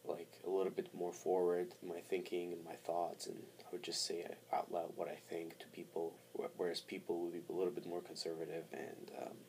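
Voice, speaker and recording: A man talking casually to camera as a vlogger, halting as he thinks aloud. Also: low-pitched, monotone, darkish tone, poor audio. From born in Montreal, Canada, raised in Armenia from four to seventeen. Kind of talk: casual personal talk to camera.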